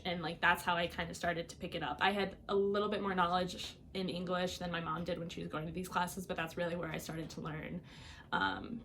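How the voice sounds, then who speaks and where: A woman's voice: fairly low pitch, a bit bright, a little hiss or hum. Young woman telling her story casually. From Iowa, United States. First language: Albanian. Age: young adult. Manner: casual, frequent fillers, laughs often. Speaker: guest. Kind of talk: personal storytelling.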